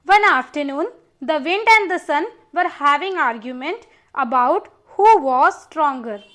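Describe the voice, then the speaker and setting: A woman reading a scripted children's story as a storyteller and teacher, slowly and deliberately. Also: high-pitched, expressive, a bit bright.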